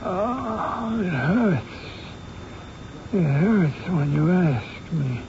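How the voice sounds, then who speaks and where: Haunted elderly professor, very high strained voice, dragging delivery; noisy vintage radio sound. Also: male voice, animated, extremely slow, vocal fry, a little mellow, heavily acted. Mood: pained, pleading. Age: older. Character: tormented old male professor, frantic and haunted. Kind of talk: old-time radio drama acting. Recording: noisy, muffled old radio recording.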